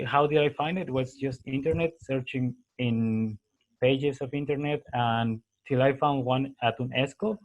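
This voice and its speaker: A man from Mexico, a Spanish speaker, answers a panel question and keeps hunting for the next word. His voice sits at a middle pitch. The call audio is dull and lo-fi. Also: darkish tone. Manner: searching for words, repeats himself. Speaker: graduate student panelist. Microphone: video call.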